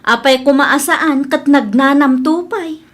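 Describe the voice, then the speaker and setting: Woman acting high-voiced kindly Ilocano village wife. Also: measured pace. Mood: generous, cheerful. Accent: Ilocano Filipino. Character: kindly, thrifty poor village wife, Erika. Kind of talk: amateur comedy radio drama.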